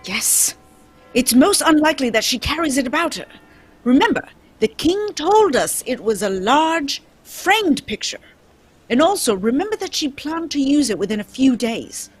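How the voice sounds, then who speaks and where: Radio-play detective female performer: commanding, confident schemer, high voice, light music behind. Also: very animated. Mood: confident, conspiratorial. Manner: brisk, commanding, self-assured. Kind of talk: old-time radio drama script read live.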